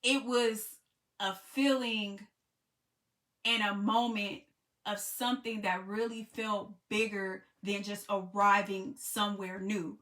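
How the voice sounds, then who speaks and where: Bright-voiced American woman telling a travel story. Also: medium pitch, slow. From United States. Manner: conversational, many "you know" fillers, grows excited. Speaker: travel vlogger and group trip leader. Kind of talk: casual storytelling to camera.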